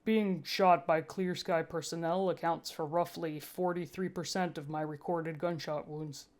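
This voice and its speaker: Woman voicing a flat, sullen security cyborg. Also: on the low side, lightly acted. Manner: flat, deliberate, clipped. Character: sullen, literal security cyborg, part bot.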